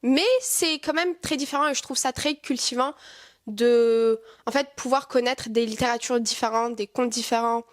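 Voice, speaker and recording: A woman's voice: fairly high pitch, steady tone, moderate pace. An 18-year-old woman from France answering interview questions thoughtfully, with frequent hesitations. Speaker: guest.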